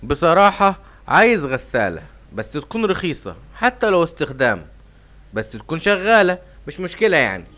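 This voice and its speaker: A man's voice: fairly high pitch, sing-song, fairly quick, pushed and bright. A man voicing an obliging, hasty father lion in a cartoon, with an Egyptian Arabic accent. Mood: eager. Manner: hasty, eager to please. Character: hurried, obliging father lion, king of the jungle.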